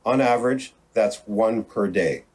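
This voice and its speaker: A man speaks as a police official in a lowish voice. He gives a formal, prepared statement to the public. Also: measured pace, a little mellow, breathy edge.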